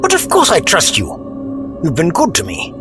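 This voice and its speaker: A man playing a frail, kindly old gentleman, weary and courteous, in an audio drama. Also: a little high, wildly swooping pitch, normal speed, music in the background. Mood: tender. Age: older. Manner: doddery, courteous, sighing and groaning. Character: frail, weary, kindly old Doctor leaning on a walking stick.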